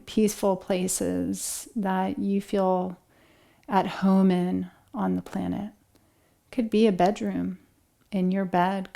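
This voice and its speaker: A woman guides a relaxation meditation in a gentle, calming manner. Her delivery is very slow, with long pauses between phrases. Her mid-pitched voice often settles into a noticeable creak. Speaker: yoga and meditation instructor.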